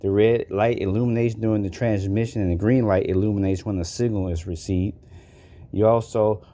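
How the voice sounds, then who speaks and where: A man's voice: lowish voice, fairly even intonation, fairly quick, mellow. A man giving a casual, folksy product walkthrough as a reviewer in an unboxing video.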